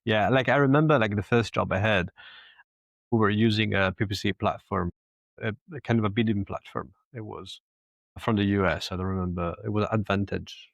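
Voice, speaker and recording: A man in his thirties from Italy, speaking English with an Italian accent touched by British, talking haltingly with many restarts as a podcast guest. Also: fairly low pitch, gravelly, dry recording, dull audio. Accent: Italian with British influence. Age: 30s. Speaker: podcast guest, agency COO. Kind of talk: casual interview talk.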